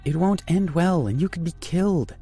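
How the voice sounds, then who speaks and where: A man's voice: medium pitch, big pitch swings, normal speed, mellow, slightly rough, soft background music, voice pushed up. A man voicing a worried young woman who pleads anxiously with her brother. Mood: worried, pleading. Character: worried protective young woman, his sister.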